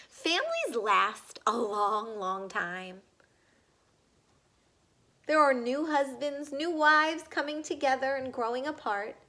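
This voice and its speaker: High, bright-voiced woman reading to children. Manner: deliberate, addressing young listeners with questions. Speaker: read-aloud reader. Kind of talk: reading a children's picture book aloud.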